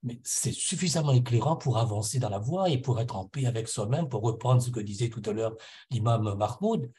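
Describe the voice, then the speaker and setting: A man's voice: mid-range voice, lively intonation, a little fast, warm tone. A middle-aged man from Réunion island giving a personal spiritual testimony at a conference, earnest and reflective, often searching for words and repeating himself as he goes. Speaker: conference panel speaker.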